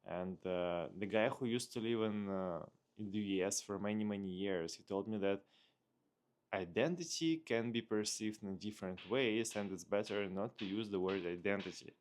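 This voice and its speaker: A man with a Russian accent, a native Russian speaker, talking casually in an unscripted vlog, thinking aloud with hesitant searching pauses. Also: fairly low pitch. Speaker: vlogger.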